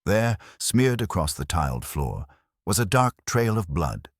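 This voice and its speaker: A man reading a scripted detective mystery in a measured, suspenseful storytelling manner. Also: low-pitched, very animated, slightly rough, no room sound, clean studio sound. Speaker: audiobook narrator. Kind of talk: scripted dramatic mystery narration.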